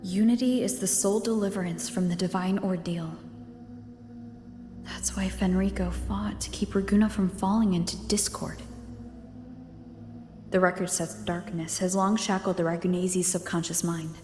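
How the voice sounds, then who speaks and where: A woman's voice: on the low side, fairly even intonation, normal speed, close to an everyday voice. An actress voicing a curious young woman adventurer who reasons aloud through clues in an English game dub. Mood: pondering. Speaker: protagonist investigating ruins. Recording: roomy game audio with long reverb. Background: soft music.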